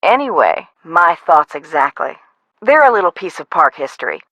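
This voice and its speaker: A woman's voice: fairly low pitch, big pitch swings, moderate pace, a bit bright, dry recording, poor audio. An actress voicing a frazzled, no-nonsense woman who runs an amusement park in a video game, conversational and dry. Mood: matter-of-fact, regretful. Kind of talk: scripted video game voice acting.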